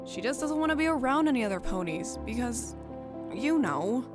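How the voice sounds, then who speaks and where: A woman voicing Silverstreak, a sullen, easily frustrated adolescent pegasus girl in an animated storybook drama. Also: a little high, normal speed, music in the background, close to an everyday voice. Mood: wry, downcast.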